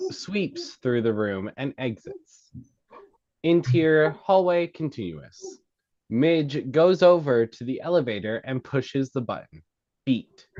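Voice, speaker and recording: On a somewhat dull recording, a man reads scene directions from a script. He goes slowly and haltingly, with very varied intonation in a mid-range voice. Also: medium pitch, darkish tone. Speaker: reader of stage directions. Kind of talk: scripted narration, present-tense screenplay directions.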